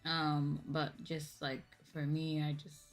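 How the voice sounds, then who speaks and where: A woman's voice: sits low, completely flat delivery, slow, faint music behind. A young woman streamer chatting casually and informally with her viewers on a livestream. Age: young adult.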